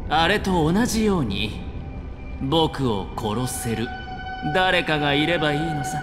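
A man voicing a suave young gambler in an anime game, theatrical and deliberate, with hidden vulnerability. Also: fairly high pitch, sing-song. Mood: sly confidence. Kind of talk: scripted anime game voice acting. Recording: long reverb under music. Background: background music.

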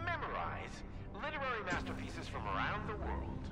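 A grandiose, echoing Soviet orator. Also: male voice, high-pitched, wildly swooping pitch, moderate pace. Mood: grand, persuasive. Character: grandiose visionary Soviet scientist, director of a facility. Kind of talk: scripted public propaganda speech. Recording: roomy distant public-address sound under loud music.

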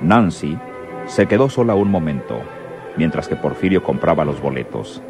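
A man's voice: a bass voice, very animated, moderate pace, music in the background. A man with a Mexican accent narrating a scripted radio serial.

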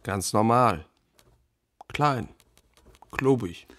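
An actor playing a plain-spoken, slightly impatient witness in a radio crime drama. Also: male voice, fairly low pitch, lively intonation, extremely slow, a bit bright, a little hiss or hum, exaggerated voice. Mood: matter-of-fact. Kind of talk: German radio play acting.

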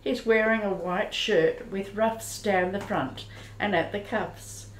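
Slow woman narrator, echoey room. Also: medium pitch. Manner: measured, descriptive. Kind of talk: scripted radio play narration.

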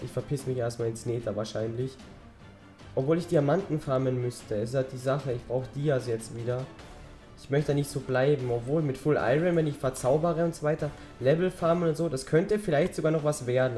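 A teenage boy with a slightly hoarse voice from a cold, chatting casually while playing a game. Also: medium pitch, dark tone. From German-speaking Europe. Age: teens. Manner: rambling, chatty, talks while gaming. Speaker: gaming channel host. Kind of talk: casual let's-play commentary.

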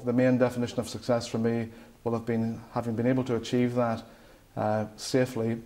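A man in his forties speaking slowly and deliberately in a corporate interview. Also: medium pitch, mellow. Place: indoor office with display boards.

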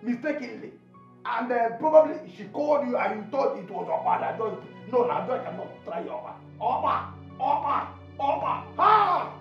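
An actor with a Nigerian accent playing an excitable, fickle friend in a comic film, exclaiming, repeating himself and stammering. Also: male voice, very high voice, moderate pace, reverberant, lo-fi sound, soft background music, exaggerated voice. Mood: flustered, placating. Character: excitable, food-loving male friend, comically fickle peacemaker. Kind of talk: broad comic film acting.

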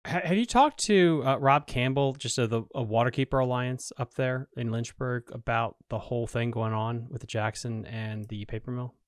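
A man in his thirties hosts a podcast in a laid-back, blunt and chatty way. He sits close to a studio microphone in a small home studio. His voice sits at a middle pitch and sounds dry, with no room echo. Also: moderate pace, few pauses. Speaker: podcast host. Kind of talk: casual podcast interview. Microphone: studio mic on a boom arm.

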